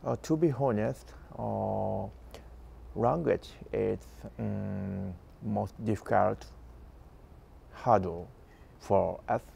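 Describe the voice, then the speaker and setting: A middle-aged Japanese man with a Japanese accent, speaking halting English and searching for words. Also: lowish voice, vocal fry, mellow, a little hiss or hum. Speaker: father learning about America.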